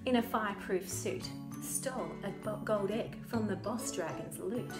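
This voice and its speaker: A woman's voice: mid-range voice, normal speed. A woman author reading a children's storybook aloud with dramatic, playful expression. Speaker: author reading own book. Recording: roomy echo, music behind.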